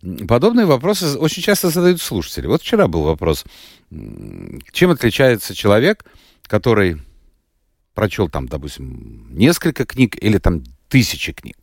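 Radio host guy, really deep voice that swings all over, playful questions. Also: wildly swooping pitch, normal speed, creaky, dry recording, clean studio sound. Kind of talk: radio interview. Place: radio studio. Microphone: studio microphone.

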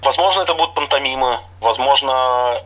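A man's voice: medium pitch, brisk, a bit bright, lo-fi sound. A middle-aged man from Latvia, a guest on a radio interview, talks about his theatre projects in an easygoing, explanatory way with small hesitations.

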